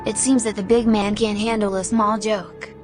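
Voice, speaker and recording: A woman's voice: medium pitch, flat delivery, normal speed, music in the background, lightly acted. A woman voicing a cocky, taunting adventurer with a love of fire, in a wry, teasing manner for a fantasy game movie.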